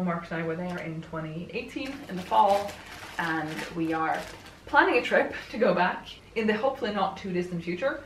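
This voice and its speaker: A woman's voice: on the low side, recorded from a distance, slight background noise. A chatty Swedish woman in her twenties rambles along in English with a Swedish accent, laughing between thoughts. Her voice comes from a little away from the camera, and the room around her echoes. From Sweden. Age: 20s. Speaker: book vlogger. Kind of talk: casual chat while assembling furniture. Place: room at home.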